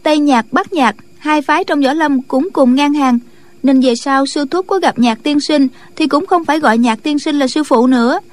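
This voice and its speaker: A woman's voice: high-pitched, normal speed, pitched above the performer's own voice, natural voice. A woman voicing an earnest, respectful young female disciple in a martial arts audiobook, girlish and deferential. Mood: earnest, urgent.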